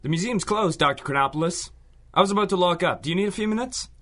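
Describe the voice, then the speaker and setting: An actor playing an easygoing museum employee in a radio drama, talking in a familiar, casual way. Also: male voice, sits high, expressive, quick pace, a bit bright, soft background music. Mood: friendly.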